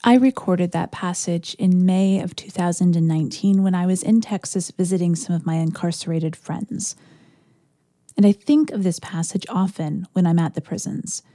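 A woman's voice: on the low side, steady tone, normal speed, mellow, no echo, clean studio sound. A woman with a Canadian accent hosting a podcast with reflective narration. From Ontario, Canada. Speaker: podcast host, reverend.

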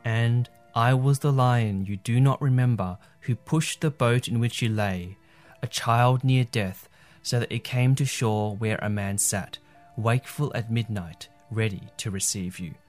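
A man reading aloud and voicing a vast, solemn lion who speaks unseen in the dark, slow and grave. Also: medium pitch, faint music behind, natural voice.

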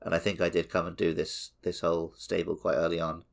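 A man's voice: low voice, monotone, fairly quick, gravelly. A man co-hosting a podcast, chatting casually and conversationally about a video game. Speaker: podcast co-host.

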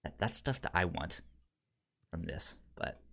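A man co-hosting a casual film podcast, speaking haltingly with a stutter, repeating sounds and words as he goes. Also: low-pitched, expressive, gravelly, bright, forceful tone. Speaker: podcast co-host reviewing films.

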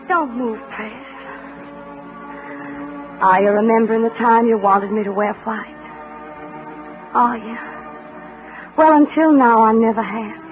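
An actress playing a passionate, willful young belle in an old radio melodrama. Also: female voice, a little high. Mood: taunting, intense. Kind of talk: 1930s-40s radio melodrama acting. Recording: tinny old radio broadcast, music behind.

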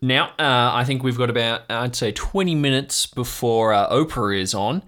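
A man's voice: mid-range voice, normal speed, fairly bright tone, lightly acted. A man voicing an easygoing older seafaring character in an improvised audio drama, folksy and rambling. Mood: laid-back.

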